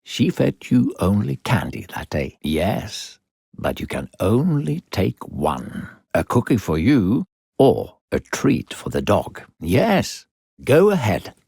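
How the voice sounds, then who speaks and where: A male voice actor playing a smooth-talking, secretly sinister video game narrator, theatrical, coaxing and condescending toward a child. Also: fairly low pitch, huge pitch swings, takes it slowly, a little mellow, no room sound, clean studio sound, full character voice. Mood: patronizing, persuasive.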